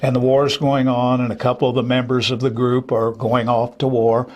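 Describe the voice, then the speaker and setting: A man's voice: mid-range voice, little pitch movement, normal speed, darkish tone, highs cut off. An older man speaking off the cuff as an interview guest, a theater director who reminisces with enthusiasm. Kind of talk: unscripted interview conversation.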